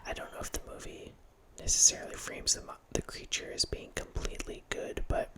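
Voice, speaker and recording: Whispering man rambling close to the mic. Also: fairly quick. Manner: rambling, self-correcting, casual. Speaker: host. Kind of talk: whispered ASMR horror film ramble. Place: small home room with bookshelf. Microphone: studio mic close up, headphones.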